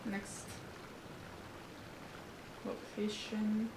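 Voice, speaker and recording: A woman's voice: mid-range voice, completely flat delivery, very slow, background noise. A woman casually commentating a livestreamed game, talking to herself as she plays. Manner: talks to herself, loud exclamations. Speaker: game streamer. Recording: echoey room with game music. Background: game music.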